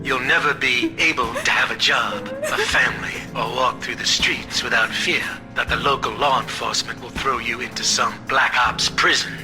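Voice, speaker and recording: Casting: male actor for cruel espionage boss villain, audio drama; measured menace, mid-pitched, music behind. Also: natural voice. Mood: gloating threat. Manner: menacing, articulate, deliberate threats. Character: cold sadistic male espionage chief, villain taunting his enemy. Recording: music bed under the voice.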